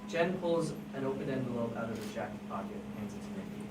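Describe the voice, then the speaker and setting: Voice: medium pitch, expressive, fast. Someone reading stage directions at a table read, roomy, far from mic. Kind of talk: scripted narration at a table read. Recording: echoey room, far mic, loud noise.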